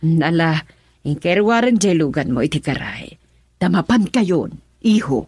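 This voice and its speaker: A woman's voice: low voice, expressive, unhurried, darkish tone. An actress playing a coaxing, bossy middle-aged mother in a radio drama, with a Filipino accent. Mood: coaxing, insistent.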